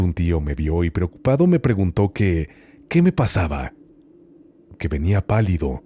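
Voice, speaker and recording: Deep-voiced man narrating horror. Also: a little hiss or hum. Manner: slow, hushed, dramatic reading with long pauses. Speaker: host and narrator. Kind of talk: dramatic horror story reading.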